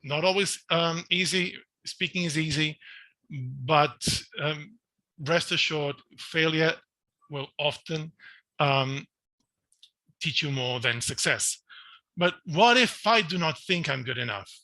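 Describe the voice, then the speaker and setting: A man's voice: fairly high pitch, slow pace, bright, forceful tone, no echo, low-quality recording. A middle-aged man from Portugal with a Portuguese accent, giving an earnest conference talk full of restarts and fillers. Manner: earnest, self-questioning, frequent restarts and fillers. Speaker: researcher giving a congress talk. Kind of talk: recorded online conference talk.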